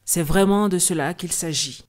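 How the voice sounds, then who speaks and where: A woman giving a steady voice-over translation of an interview. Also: lowish voice, normal speed.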